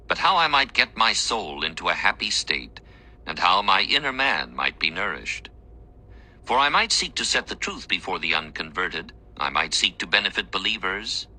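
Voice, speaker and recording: Deep-voiced man, very forceful devotional narration. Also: rough recording. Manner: measured, formal, earnest. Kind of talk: scripted dramatic reading of a first-person devotional memoir.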